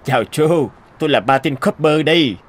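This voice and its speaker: A man's voice: on the high side, expressive, moderate pace. A man playing an eager, polite and confident inventor in a cartoon dub. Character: gleeful, driven male inventor, confident and polite. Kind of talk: Vietnamese cartoon dub acting. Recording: music under the dialogue.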